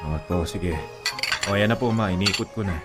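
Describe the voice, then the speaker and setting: A man plays a dutiful Filipino father in a radio drama. His voice is low, firm and caring, with mild exasperation. Music runs clearly beneath it. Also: brisk, close to an everyday voice. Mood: impatient. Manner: dismissive, dutiful, mildly exasperated. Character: hardworking, stern but caring adult Filipino father.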